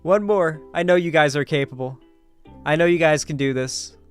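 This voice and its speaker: A man hosting a live stream, chatting casually and informally with his viewers in an animated way. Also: a little high, moderate pace, clean tone, soft background music. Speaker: livestream host.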